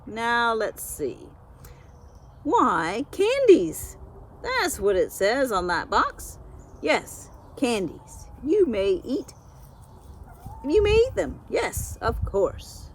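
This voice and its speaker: A proud, know-it-all storybook goose, as a female reader puts her on, declares things with great confidence. Her pitch leaps around and she stretches her words out slowly, over faint noise. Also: huge pitch swings, exaggerated voice. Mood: pompous, sure. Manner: emphatic, self-assured, exclaiming.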